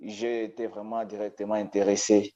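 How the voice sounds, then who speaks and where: A man with a Congolese French accent giving a personal testimony as an eyewitness, haltingly searching for words. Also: on the low side, level tone, a crowd in the background. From Democratic Republic of the Congo. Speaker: eyewitness guest. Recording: dull, muffled call audio.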